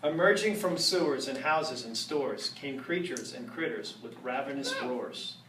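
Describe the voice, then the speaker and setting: A man's voice: medium pitch, expressive, unhurried. Playful man reading aloud in an echoey room. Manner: playful, jokes between rhymes. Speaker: reader at a storytime. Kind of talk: reading a rhyming picture book aloud. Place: room with a live audience. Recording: echoey room from far off, noisy.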